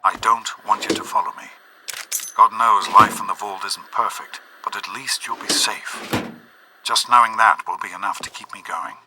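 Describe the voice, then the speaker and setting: An actor playing a gentle middle-aged father and doctor in a video game, speaking earnestly and hesitantly with regret and love. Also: fairly low pitch, steady tone, normal speed, very noisy, close to an everyday voice. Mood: sad, tender. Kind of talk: restrained dramatic video game acting.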